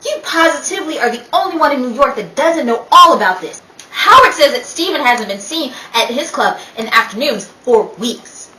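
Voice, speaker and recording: A woman playing a meddling, gossipy high-society wife who feigns concern, gushing theatrically in a 1930s-style radio play. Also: lively intonation, moderate pace, pushed and bright. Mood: gossipy insistence. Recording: echoey room, distant mic, faint noise.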